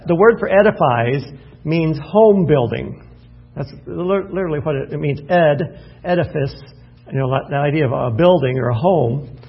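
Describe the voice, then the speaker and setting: A man's voice: a little high, animated, normal speed, creaky, slightly rough, muffled recording. A man preaching at an evening Bible study in a folksy, self-deprecating way, thinking aloud and restarting his phrases. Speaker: pastor.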